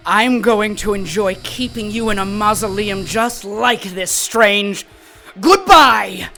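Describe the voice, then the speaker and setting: A woman's voice: mid-range voice, slow pace, bright, forceful tone, faint music behind. A woman playing a gloating, sadistic villain in an audio drama, taunting theatrically and savoring each word. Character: gloating sadistic male villain with magic staff.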